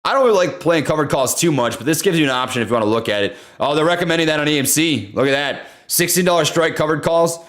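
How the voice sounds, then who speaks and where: A young man hosting a casual livestream, talking loudly and excitably while reading chat. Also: a little high, fast talker, few pauses. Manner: loud, excitable, says he always yells. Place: home room.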